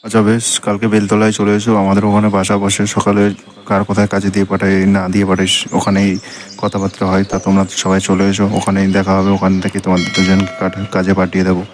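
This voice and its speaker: A man's voice: low voice, monotone, fast, background noise, close to an everyday voice. A man voicing a friendly older labour contractor in a comedy cartoon, with a colloquial, matter-of-fact, avuncular manner. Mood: friendly, offering.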